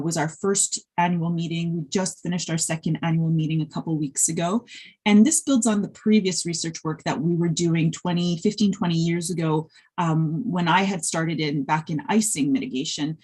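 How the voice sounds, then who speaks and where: A middle-aged woman whose first language is Polish gives a conference talk over a video call. Her voice sits a little low and has a husky edge. She speaks in a friendly way, often pausing on ums and starting her sentences over. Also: a little mellow. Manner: friendly, filler-heavy, with frequent restarts. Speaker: conference speaker, industry researcher. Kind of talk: presenting slides at an online conference.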